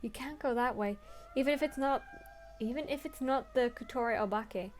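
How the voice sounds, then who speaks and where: A woman's voice: high-pitched, moderate pace, soft background music. A young woman playing through a story game, reading the text aloud earnestly and breaking off into crude, swearing jokes.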